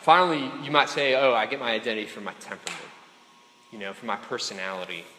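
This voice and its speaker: A man's voice: medium pitch, fast, background noise. A man giving a casual, conversational sermon to a room of students, often checking in with them and slipping in jokes. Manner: chatty, keeps checking in with "right?" and "okay?"; joking asides to the crowd. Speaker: speaker addressing students. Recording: echoey hall with faint room ambience.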